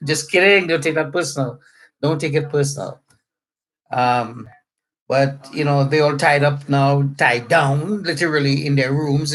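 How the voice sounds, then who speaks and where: A man's voice: on the high side, a little hiss or hum, rough recording. A middle-aged man from Trinidad with a Trinidadian accent, chatting casually to online viewers in a rambling, joking way. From Trinidad and Tobago. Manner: rambling, joking, spells out words to clarify. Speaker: pastor. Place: a room at home.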